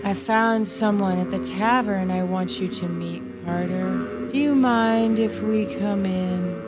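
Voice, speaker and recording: An actress playing a woman under a villain's spell in a horror audio drama, with a dramatic, deliberate delivery. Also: mid-range voice, loud music behind the voice, close to an everyday voice. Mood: eerily calm. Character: entranced young woman, waking confused and frantic.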